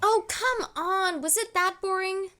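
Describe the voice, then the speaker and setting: A woman playing a perky, caring young girl with a squeaky voice in an audio drama. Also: unhurried, sharp tone, heavily acted. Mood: concerned.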